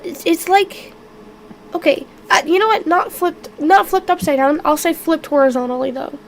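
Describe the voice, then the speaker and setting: Female game master, high pitch, unhurried, losing her voice to illness, throat-clearing, faint background noise. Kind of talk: narrating a tabletop game live on stream.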